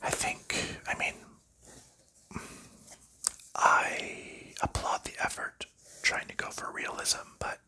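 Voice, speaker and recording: Man, whispered ASMR, very bright crisp breathiness, soft rambling chatter, lots of ums. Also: slow, pauses often. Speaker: ASMR channel host. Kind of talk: whispered ASMR board game unboxing.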